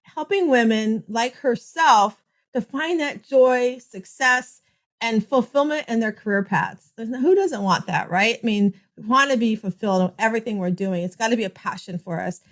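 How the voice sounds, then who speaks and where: A woman's voice: on the high side, moderate pace. A woman hosting a podcast interview, upbeat and effusive as she welcomes her guest. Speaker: podcast host.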